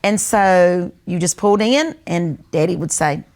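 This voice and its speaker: A woman's voice: lowish voice, unhurried, darkish tone. A woman in her sixties with a North Carolina Southern accent, telling stories and reminiscing in casual conversation. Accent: North Carolina Southern American. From North Carolina, United States. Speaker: co-host.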